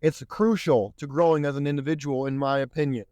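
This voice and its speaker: A man's voice: fairly high pitch, fairly even intonation, brisk, a little mellow, dry recording. A man hosting a solo podcast, speaking earnestly and a bit preachily while he is losing his voice. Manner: earnest, preachy, repeats "my friends". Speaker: podcast host.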